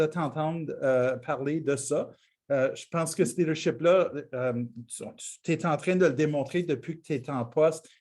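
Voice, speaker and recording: A man hosting an interview, hesitant and repeating words as he frames his questions. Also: a little high. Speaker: host, interviewer.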